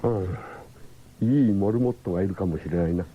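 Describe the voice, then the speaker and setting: A man's voice: sits low, a little fast, noisy background, close to an everyday voice. An actor playing a curt, calculating villain's henchman in a 1970s Japanese radio drama. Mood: sinister, scheming. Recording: tinny old radio recording.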